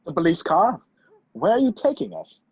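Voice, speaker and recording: A man playing a determined, wrongly accused gentleman on the run in an amateur radio play, over a video call. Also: high-pitched, very animated, moderate pace, creaky, breathy edge, dry recording, exaggerated voice. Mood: wry. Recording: dull, lo-fi video-call audio.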